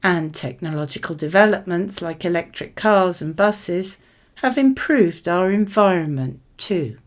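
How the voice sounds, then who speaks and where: A woman reading model answers for an English speaking exam in a conversational, measured and clearly articulated way. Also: fairly low pitch, lively intonation.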